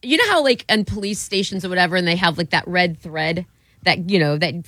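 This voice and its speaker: A woman's voice: fairly low pitch, brisk, a bit bright, no room sound. A woman co-hosting a radio show, playful and teasing in casual banter. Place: radio studio.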